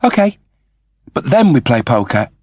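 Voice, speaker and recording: Guy doing a breezy confident young woman in an audiobook, pitched up, husky, teasing and casual. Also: big pitch swings, normal speed, a little mellow, dry recording, clean studio sound. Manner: casual, playful.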